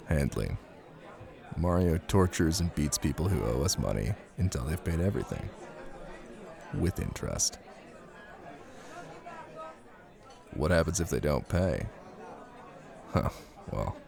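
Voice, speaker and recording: A man playing a possessive, menacing Italian mafia husband in an intimate ASMR roleplay, speaking in a commanding way with deliberate pauses. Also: rumbling low, vocal fry, a little mellow, full character voice. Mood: coldly menacing.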